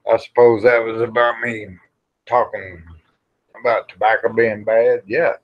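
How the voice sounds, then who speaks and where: A middle-aged or older American man with a fairly low voice chats casually to his livestream viewers. He talks very slowly in a folksy, plain-spoken way, rambling along with mild cusses. The audio is a bit dull and lo-fi. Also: flat delivery. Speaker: livestream host.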